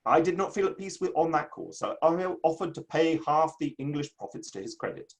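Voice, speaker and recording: Man acting a proud, indignant Victorian gentleman, swooping high voice. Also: big pitch swings, a little fast, vocal fry. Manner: declamatory, grandiose, formal old-fashioned phrasing. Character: indignant Victorian literary man, proud, theatrical, easily affronted. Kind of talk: online dramatic play reading.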